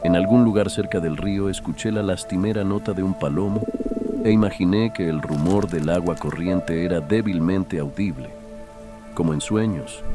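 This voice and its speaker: Deep-voiced man narrating horror. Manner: literary, solemn, measured. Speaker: audiobook narrator. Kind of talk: scripted horror story narration.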